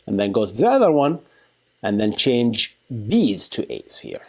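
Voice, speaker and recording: A man giving an informal software tutorial, talking through a live demo in a playful, colloquial way and thinking aloud. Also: fairly low pitch, wildly swooping pitch, unhurried, vocal fry, husky. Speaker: software engineering instructor.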